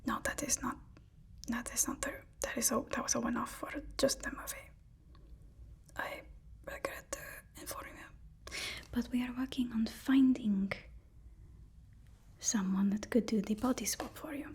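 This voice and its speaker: Female, higher-pitched and whispery, for ASMR role-play: a placating, apologetic personal assistant flattering a supervillain boss. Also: unhurried, long pauses, husky. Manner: hesitant, placating, deferential. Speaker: ASMR performer playing a villain's personal assistant.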